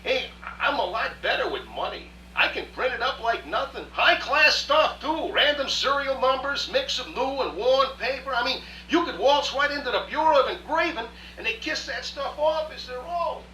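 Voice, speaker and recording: Wisecracking genie, New York accent, very high, swooping voice, slangy radio-play hustler. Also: animated, normal speed, few pauses, pushed and bright. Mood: brash, chummy. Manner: slangy, brash, chummy salesman patter. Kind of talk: stage radio drama acting. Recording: roomy far-off rehearsal recording, faint hiss.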